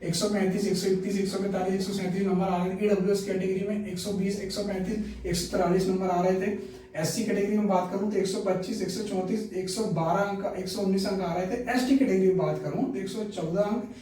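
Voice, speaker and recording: A man's voice: high voice, fairly even intonation, fairly quick, mellow, a little hiss or hum. A man giving an informal explainer and reading out figures, repeating himself and often checking that listeners follow. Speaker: exam-news video presenter. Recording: echoey room, distant mic.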